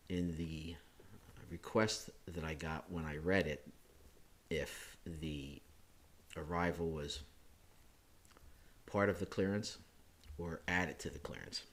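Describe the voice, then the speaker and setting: A man, hoarse from a chest cold, hosting a screen-recorded flight simulator how-to, talking it through casually with self-corrections and filler sounds. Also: low voice. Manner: relaxed, thinking aloud with frequent uh and self-corrections. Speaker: flight sim hobbyist host.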